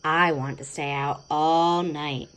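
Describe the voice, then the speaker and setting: A woman's voice: low-pitched, steady tone, slow pace, fairly bright tone, smooth. A woman voicing a stubborn, adventurous young male pet cat in a children's storybook, with whiny yowls and meows. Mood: defiant. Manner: yowling, meowing cries. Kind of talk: children's storybook read-aloud.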